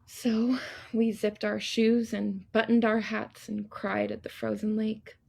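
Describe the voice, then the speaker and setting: A woman performing a wistful childhood memory as a theatrical poetic monologue, in a measured, husky, creaky voice. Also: a little high, little pitch movement, darkish tone, heavily acted. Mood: hushed.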